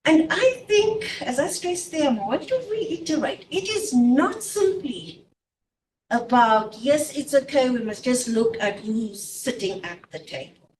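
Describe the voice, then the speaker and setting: A woman speaking as a seminar panelist, measured and emphatic, with "um" fillers. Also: high-pitched, expressive, a little mellow. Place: conference hall. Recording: echoey room from far off, faint noise.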